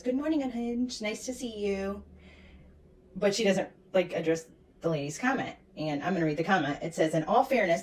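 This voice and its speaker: A woman's voice: medium pitch, darkish tone, far from the mic, poor audio. A woman giving critical reaction commentary in a sarcastic, disapproving manner. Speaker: commentator reacting to clips of a streamer.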